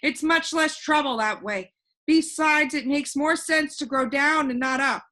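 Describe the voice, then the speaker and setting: Cheerful storybook boy, played by a woman, proud and lecturing; high-pitched, crisp and bright. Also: a little slow. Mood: matter-of-fact, amused. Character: cheerful, know-it-all young boy who floats in air. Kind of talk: animated children's storybook reading.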